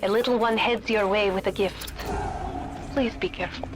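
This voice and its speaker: A woman's voice: mid-range voice, steady tone, normal speed, heavy background noise, lightly acted. A woman playing a stern German geneticist. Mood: remorseful. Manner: clipped, commanding, slightly broken English phrasing. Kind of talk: video game voice acting. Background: loud game music.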